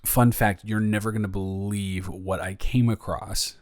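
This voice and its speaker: Man playing a low-voiced, rambling, caring young roommate. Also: fairly even intonation, dry recording, clean studio sound, close to an everyday voice. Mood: playful, excited. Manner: rambling, halting, conversational, teasing. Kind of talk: improvised audio roleplay, casual and intimate.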